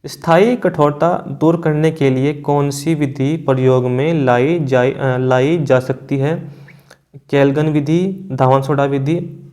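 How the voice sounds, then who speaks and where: A man's voice: fairly high pitch, fairly even intonation, slow pace, a little mellow. A man teaching exam prep, reading out quiz questions and confirming answers in an instructional way with frequent check-in tags.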